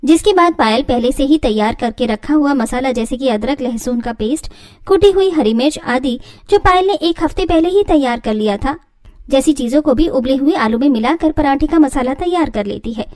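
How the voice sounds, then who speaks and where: A woman's voice: sits high, expressive, normal speed, darkish tone. A woman delivering scripted storytelling narration as the narrator of a family tale.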